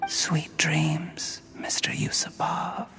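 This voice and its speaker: A man voicing a smug, calculating official in a video game, polite and measured with condescending insinuation. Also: on the high side, little pitch movement, creaky, in a whisper, music in the background. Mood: hushed, menacing. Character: smug, scheming male official, silky and calculating villain. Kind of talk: dramatic video game voice acting.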